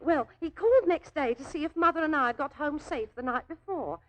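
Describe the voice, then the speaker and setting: A woman's voice: sits high, animated, a little fast, poor audio. An actress playing a naive, earnest, lovelorn young working woman in a 1940s radio drama, chatty and deferential, easily flustered. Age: young adult. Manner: deferential, chatty, flustered, with homely ungrammatical turns of phrase.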